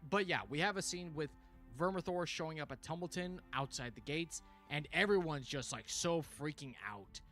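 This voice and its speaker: A man's voice: fairly high pitch, normal speed, soft background music. A man giving casual, exclamatory fan commentary as a livestream host, his voice tiring as he goes. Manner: animated, exclamatory, informal. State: voice tiring, frequent throat clearing. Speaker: livestream host reviewing a TV series.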